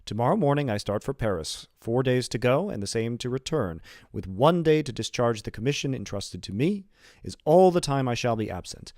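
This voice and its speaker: A man's voice: medium pitch, expressive, brisk, darkish tone, no room sound, lightly acted. Male reader as happy young bridegroom sailor, eloquent and emphatic storybook dialogue, dry close sound. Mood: cheerful.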